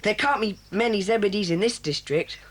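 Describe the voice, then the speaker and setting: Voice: mid-range voice, fairly quick, close to an everyday voice. A performer voicing a solemn, bossy boy who leads a children's club, speaking in an earnest, formal, commanding way in a 1980s children's audio drama.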